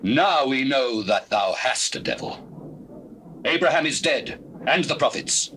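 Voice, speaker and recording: An actor playing a scornful, challenging Pharisee interrogator in declamatory Bible drama. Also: male voice, medium pitch, animated, unhurried, a bit bright, husky. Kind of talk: dramatized scripture reading, stage-like acting. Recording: noisy old cassette tape recording.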